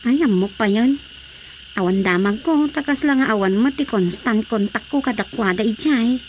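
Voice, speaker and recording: An everyday Ilocano housewife voiced by an actress talking in a radio drama. Her pitch is notably high, and faint crickets chirp in the background. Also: normal speed, a little hiss or hum, lightly acted. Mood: conversational.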